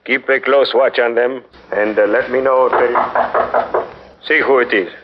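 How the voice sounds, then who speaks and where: An actor playing a stern, proud Spanish fortress governor in a 1940s radio drama, haughty and indignant. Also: male voice, mid-range voice, steady tone, a little slow, background noise, natural voice. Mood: commanding. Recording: dull 1940s radio recording.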